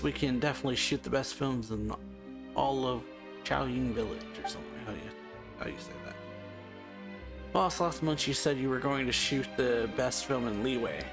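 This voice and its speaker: A man doing a casual let's play, reading game dialogue aloud in a halting, offhand way. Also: mid-range voice. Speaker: let's player. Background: game music.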